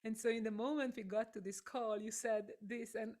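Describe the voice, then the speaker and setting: A woman's voice: fairly high pitch, steady tone, normal speed, darkish tone. A woman with a Hungarian accent, a native Hungarian speaker, hosting an interview over a video call, effusive and laughing often. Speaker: host, voice teacher.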